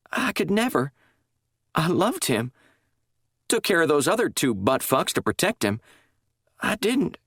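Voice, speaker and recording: A woman's voice: low voice, breathy edge, no echo, studio quality, voice pushed up, heavily acted. A man reading a story, voicing a distraught woman who is crying and rambling in broken-off sentences. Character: unhinged dying woman, bitter and grieving.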